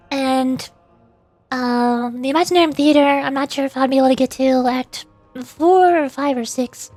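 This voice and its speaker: A woman's voice: high-pitched, no echo. A woman streaming as a VTuber, chatting casually in a playful, rambling way during a game livestream.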